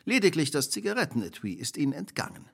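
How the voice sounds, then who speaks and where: An actor playing a shrewd, relentless detective in a radio drama, speaking precisely, formally and theatrically. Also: male voice, medium pitch, wildly swooping pitch, fast, gravelly, a bit bright, breathy edge, full character voice. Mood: accusing, assured. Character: shrewd, relentless male detective laying out a crime.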